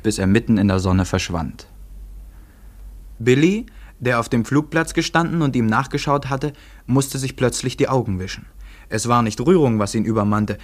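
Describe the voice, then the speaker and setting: An actor playing a composed, drily witty literary narrator, telling a radio play in the third person. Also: male voice, medium pitch, a little mellow, a little hiss or hum, close to an everyday voice.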